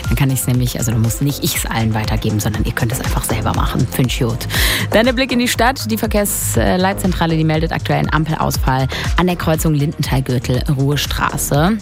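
A woman radio presenter, slightly hoarse, chatting upbeat through weather, traffic and weekend talk. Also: sits low, a little fast, music in the background. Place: radio studio.

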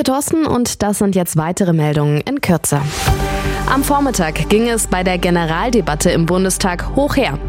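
Female radio newsreader with a lowish voice, measured delivery, music bed behind. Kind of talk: scripted radio news reading.

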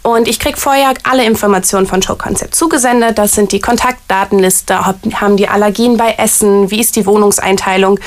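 A woman's voice: medium pitch, fairly even intonation, fairly quick, hardly any pauses. A woman answers interview questions about her job. She is chatty and eager, and her speech is loose and full of fillers. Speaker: artist liaison at a variety theater.

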